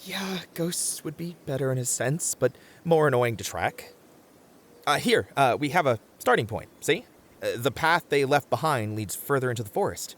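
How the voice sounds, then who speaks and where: A man's voice: medium pitch, expressive, normal speed, a bit bright, lightly acted. A man playing a muscular, sensible but easily flustered bunny-man camper in a comedic audio roleplay, hesitant and wry as he reasons aloud. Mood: wary, thoughtful.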